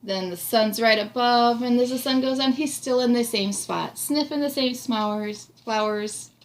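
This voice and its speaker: A woman's voice: on the high side, normal speed. A woman in her thirties reading a picture book aloud to a child, encouraging and chatting as she explains and asks questions. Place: small room indoors. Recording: noisy home recording with room ambience.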